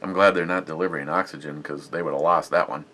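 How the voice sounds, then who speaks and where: A man's voice: very deep voice, steady tone, fast talker, bright, forceful tone. A man casually reviewing a beer on his vlog, rambling and chatty with lots of fillers and restarts.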